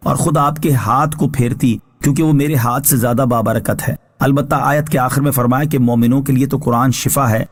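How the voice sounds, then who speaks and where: A man giving formal religious commentary as a scholar, reading prepared scripture translation and explanation in a measured, instructive way. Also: medium pitch, husky. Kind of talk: religious exegesis, read from a prepared text.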